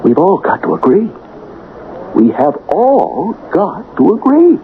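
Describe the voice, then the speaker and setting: A man's voice: high-pitched, wildly swooping pitch, slow, heavily acted. An actor playing a persuasive, calculating small-town man in a radio drama, insistent and coaxing in delivery. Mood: pressing. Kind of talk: 1970s radio drama acting. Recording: tinny, noisy old radio broadcast.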